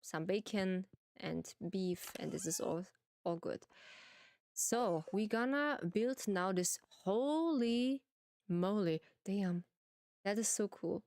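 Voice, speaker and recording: A woman streamer with a German accent, playfully chatting to viewers in simple, halting English while she plays a farming game. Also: medium pitch, animated, a little mellow, no echo, clean studio sound.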